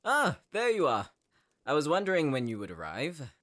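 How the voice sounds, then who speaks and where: A man's voice: fairly high pitch, wildly swooping pitch, moderate pace, noisy background. A man playing a menacing noble sorcerer villain in an audio drama, speaking in a pompous, gloating, theatrical way. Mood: mocking, smug. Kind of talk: audio roleplay acting.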